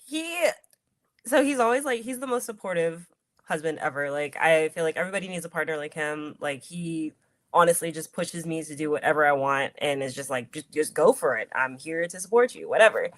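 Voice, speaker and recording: A woman's voice: low voice, animated, fairly quick, dry recording. A woman who wrestles, chatting as an interview guest in an upbeat, giggly way with plenty of "like". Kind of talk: casual interview chat.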